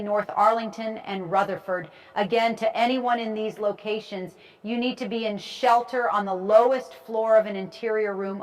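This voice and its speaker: Woman playing a female TV weather broadcaster, live storm commentary, explanatory, instructive, medium pitch. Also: lightly acted, low-quality recording. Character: female TV meteorologist giving urgent tornado coverage.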